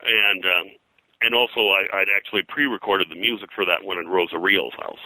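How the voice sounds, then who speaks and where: Older American male interviewee, low-pitched and very sharp in tone, anecdotal and meandering, casual reminiscence. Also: no room sound, rough recording. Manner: rambling, anecdotal, halting mid-sentence. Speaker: interview guest, comics colorist and radio historian.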